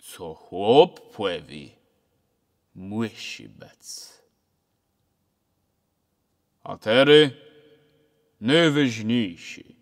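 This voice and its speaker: A man's voice: fairly low pitch, animated, drawn-out words, long pauses, heavily acted. A man playing a jovial, preachy village priest with a Kashubian accent in an amateur radio play, speaking in a sing-song sermon manner. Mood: preachy, folksy advice. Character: older male village priest, jovial, preachy, counselling a young couple. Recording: very reverberant hall-like sound.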